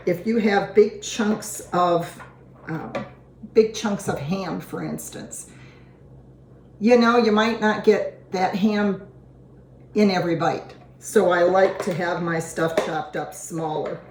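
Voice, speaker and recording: A hoarse woman talks slowly and easily while demonstrating a recipe, chatting in a homey way as she goes. Her voice bounces around an echoey kitchen. Dishes and pots clatter behind her. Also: mid-range voice, background noise. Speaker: cooking show host. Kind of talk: casual cooking demonstration. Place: home kitchen. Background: dishes and utensils clinking.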